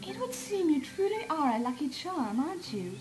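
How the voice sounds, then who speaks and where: A woman voicing an elegant, polite female unicorn pony in a fan fiction audio drama, with refined, theatrical wording and gentle teasing. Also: high-pitched, big pitch swings, normal speed, low-quality recording. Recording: music behind the voice.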